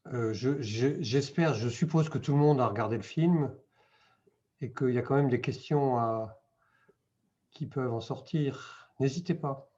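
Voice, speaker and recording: A man's voice: mid-range voice, unhurried, warm tone, lo-fi sound. A man moderating a post-screening discussion, casual and a little hesitant.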